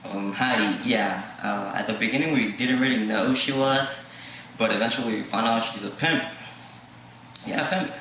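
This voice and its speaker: A nineteen-year-old man telling a mock documentary story in a flat, hesitant way. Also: lowish voice, normal speed. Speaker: student interviewee. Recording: echoey room with faint noise.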